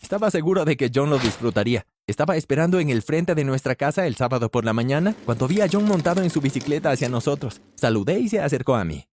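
A man narrating a scripted first-person story with animated delivery, shifting his pitch when he voices the characters. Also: quick pace, few pauses, slight background noise.

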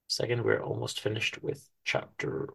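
A man giving a dramatic reading of a short story, in a dry, measured, formal manner. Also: fairly low pitch, monotone, vocal fry, a bit bright, a little hiss or hum. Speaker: author-narrator reading his own story on a podcast.

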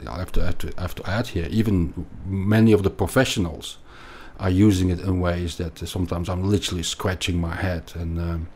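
Finance guest: middle-aged Belgian man, accented, very deep voice, halting delivery. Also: steady tone, darkish tone, breathy edge. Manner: hesitant, many repeated words and restarts. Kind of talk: conversational interview.

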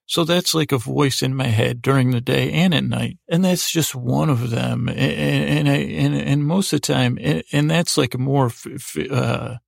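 A man hosting a bedtime podcast, rambling in a mild, halting, self-deprecating way with many meandering detours. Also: mid-range voice, creaky, no room sound, clean studio sound. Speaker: podcast host. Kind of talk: rambling, improvised bedtime monologue.

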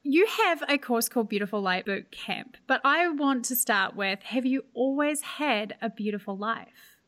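A woman's voice: fairly high pitch, animated, moderate pace, a bit bright, no room sound. A woman with a New Zealand accent hosting a podcast, reading an earnest, upbeat intro and then interviewing a guest. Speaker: podcast host.

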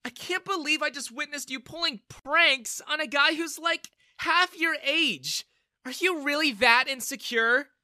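A man's voice: very high-pitched, measured pace, sharp tone, no echo, studio quality, pitched above the performer's own voice, exaggerated voice. A man voicing a spirited, protective young woman who speaks with earnest indignation in a storybook narration. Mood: angry.

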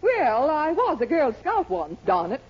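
A woman's voice: high-pitched, huge pitch swings, a little slow, exaggerated voice. An actress playing a haughty but amused middle-aged society lady, grand and arch, in 1940s radio sitcom style. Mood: relenting, amused. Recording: muffled 1940s radio, noisy, audience behind.

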